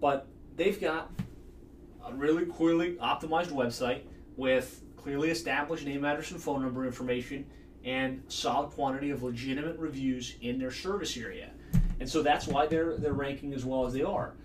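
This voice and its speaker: A man in his thirties giving a brisk, confident screen-share tutorial as a marketing consultant, recorded in a small office. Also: mid-range voice, distant mic, a little hiss or hum. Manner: brisk and confident, explaining step by step. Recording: echoey room, voice a bit off-mic.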